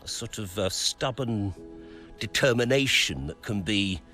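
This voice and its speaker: A man playing a calculating, self-satisfied racing team executive in a game's interview scene, deliberate and smug, with thoughtful pauses. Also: lowish voice, expressive, bright, forceful tone, soft background music. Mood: smugly analytical. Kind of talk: documentary-style interview acting.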